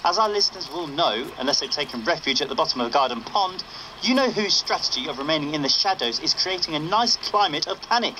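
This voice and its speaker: High-voiced, cheeky rebel radio correspondent. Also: male voice, expressive, fast talker, pushed and bright, slight background noise, rough recording. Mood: wry, joking. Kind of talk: broadcast radio banter, scripted game dialogue.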